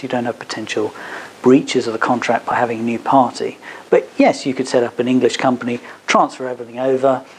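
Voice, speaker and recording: A man with a mid-pitched voice gives a seminar answer as a legal adviser. He explains in a hesitant, careful way, hedging as he goes. There is faint noise behind him. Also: poor audio. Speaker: advocate giving a legal seminar. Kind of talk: seminar talk answering audience questions. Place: seminar at a business hub.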